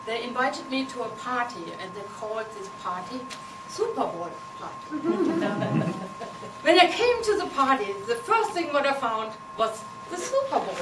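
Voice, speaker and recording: A woman with a German accent delivers a humorous contest speech, teasing and playful, with slightly non-native phrasing. Her voice sits high and swings widely in pitch. She is heard from a distance in an echoey hall, with background noise. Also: sing-song, measured pace. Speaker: contest speaker. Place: meeting hall with audience. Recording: echoey hall from far off, noisy.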